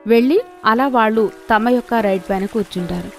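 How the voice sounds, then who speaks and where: A woman's voice: on the high side, fairly quick, faint music behind. A woman telling a scripted children's story as its narrator.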